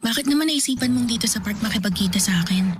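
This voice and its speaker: A woman's voice: a little high, expressive, quick pace, slight background noise, natural voice. An actress playing a weary, guarded woman in her thirties, speaking hesitantly and apologetically in a radio drama. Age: 30s.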